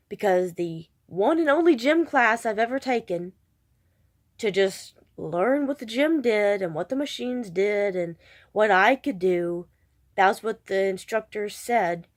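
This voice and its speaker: A woman with a stuffed-up, congested voice, chatting casually in a vlog and rambling with self-deprecating restarts. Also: mid-range voice. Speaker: vlogger.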